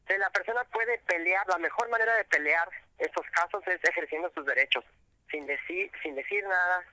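A man's voice: on the high side, pushed and bright, no room sound, low-quality recording. A man answering radio interview questions about immigrant detention, explaining step by step with frequent fillers and restarts. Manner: explanatory, halting with many fillers. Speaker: immigration rights guest.

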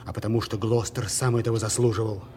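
An actor playing a commanding, vengeful villainous duke in a theatrical audio drama. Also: male voice, on the low side, flat delivery, quick pace, a little mellow, slight background noise, natural voice.

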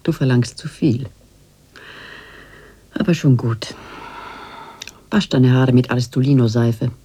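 Older fortune teller in radio play, super low breathy rasp, slow n cooing, kinda oracular. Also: female voice, dark tone, exaggerated voice. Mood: brisk, self-assured. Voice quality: husky, breathy. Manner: slow, insinuating, oracular, cloying endearments. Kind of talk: radio drama acting.

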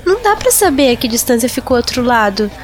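Man doing a high, scared young girl. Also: sing-song, quick pace, soft background music. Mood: anxious. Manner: desperate, pleading.

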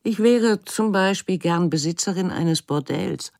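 An actress playing a woman artist who narrates her memories and inner life in a measured, literary radio play delivery, over music. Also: on the low side, vocal fry, a little mellow, dry recording, clean studio sound. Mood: reflective. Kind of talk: radio drama monologue and narration.

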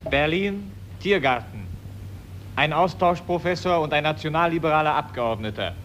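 Higher-voiced man announcing scenes, faint hiss. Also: expressive, moderate pace, a bit bright. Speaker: scene announcer in a radio play. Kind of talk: scripted stage-direction narration, 1940s radio. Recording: faint hiss, old 1940s radio recording.

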